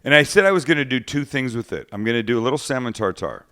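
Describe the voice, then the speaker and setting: A man's voice: medium pitch, animated, fast talker, bright, forceful tone. A man hosting a live cooking show, chatting casually, joking and swearing now and then.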